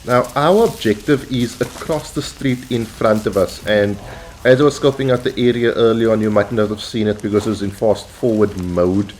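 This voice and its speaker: A South African man hosting his gaming channel, calmly explaining tactics over gameplay with frequent pauses. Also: fairly low pitch, slight background noise, rough recording. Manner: measured, instructional, with frequent "um" and "uh" pauses. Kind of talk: gameplay commentary.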